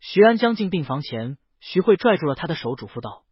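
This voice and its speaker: A man's voice: a little high, very animated, fairly quick, breathy edge, no room sound, highs cut off. A man reads a scripted story as an audiobook narrator, voicing the dialogue himself.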